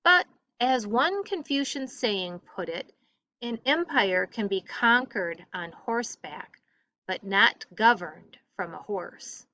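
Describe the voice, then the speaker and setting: A woman teacher reading a textbook aloud to a class with deliberate, emphatic phrasing. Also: mid-range voice, lively intonation, sharp tone, no room sound, dull audio.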